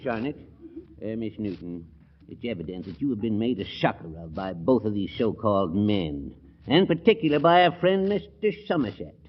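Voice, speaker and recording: A blustering small-town judge, swinging wildly. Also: male voice, fairly low pitch, big pitch swings, fairly quick. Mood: exasperated scolding. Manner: folksy, blustering, stammering. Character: crusty, excitable small-town male judge. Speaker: actor playing a judge. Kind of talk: 1940s radio comedy acting. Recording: dull lo-fi 1940s radio recording.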